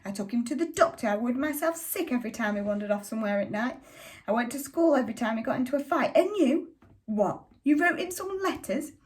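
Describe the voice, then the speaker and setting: A woman voicing an angry middle-aged father who shouts at his family. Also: mid-range voice, moderate pace, lightly acted. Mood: furious. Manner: furious shouting, then tearful pleading.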